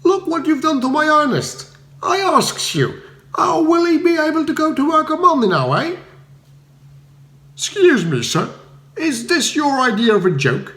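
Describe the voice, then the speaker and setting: High-pitched angry Cockney commoner man performing, slangy, heckling, animated storybook character, echoing room. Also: a little slow, full character voice. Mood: indignant. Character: rowdy angry Cockney volunteers, loud indignant commoners.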